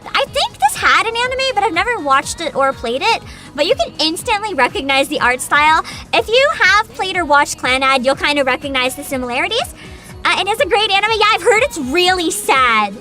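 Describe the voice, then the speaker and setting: High, bright, animated woman streamer. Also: a little fast, faint music behind. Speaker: livestream host. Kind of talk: casual livestream chat, giving opinions to viewers.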